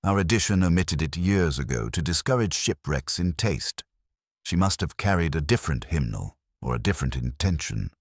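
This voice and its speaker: A man reading the part of a drily sceptical detective inspector, deliberate and understated. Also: very deep voice, animated, dry recording, clean studio sound, exaggerated voice. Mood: dry, musing.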